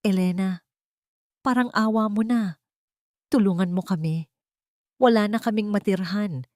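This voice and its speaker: A woman's voice: mid-range voice, measured pace, a little mellow, no echo, studio quality, voice pushed up, lightly acted. A woman narrator voicing a remorseful, desperate man who pleads for mercy in an audio storybook drama. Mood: pleading, remorseful.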